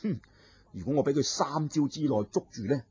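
A man's voice: mid-range voice, moderate pace, dark tone, husky, no room sound. A man voicing a clever, cheeky young male scholar who bargains and teases his opponent. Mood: scheming. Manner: bargaining, teasing, wily. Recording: dull lo-fi recording.

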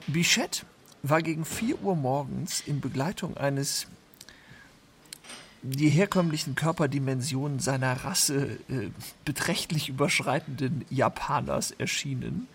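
A man's voice: medium pitch, slight background noise, lightly acted. A man performing as a wry storyteller in a literary radio play, delivering ornate sentences with dry irony. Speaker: radio drama narrator.

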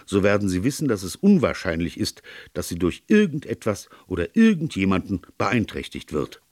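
A man's voice: low voice, very animated, moderate pace, darkish tone, no echo, clean studio sound, voice pushed up. A man voicing a proud banker who speaks stiffly and haughtily, easily offended, in an audiobook story. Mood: affronted.

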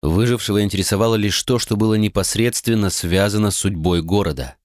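A man narrating an audiobook in a calm, measured way. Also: fairly low pitch, no room sound, clean studio sound. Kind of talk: scripted narration.

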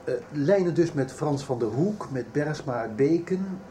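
Casting: middle-aged businessman dad, radio drama; slightly high, soft-edged voice, businesslike and hesitant. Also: lively intonation. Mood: worried, formal. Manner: businesslike, halting. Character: worried middle-aged businessman father, authoritative but hesitant. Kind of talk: 1990s Dutch radio soap acting. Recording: faint background noise.

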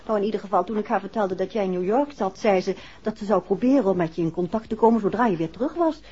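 An actress playing a curious, chatty middle-aged wife in a 1960s radio play, speaking in an inquisitive, conversational way. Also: female voice, mid-range voice, fast talker, warm tone, husky, lightly acted. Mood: chatty, puzzled. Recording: muffled old 1960s radio recording.

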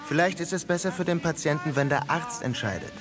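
Sober, cautious hospital orderly male actor, somewhat high voice, radio drama, music clearly behind. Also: fairly quick, natural voice. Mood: sceptical. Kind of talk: German radio drama acting.

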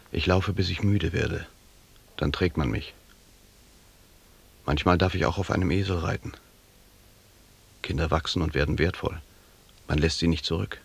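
Proud wandering captain, low gravelly male voice, husky, rootless and hardened, radio drama. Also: heavily acted. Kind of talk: 1980s German radio drama acting.

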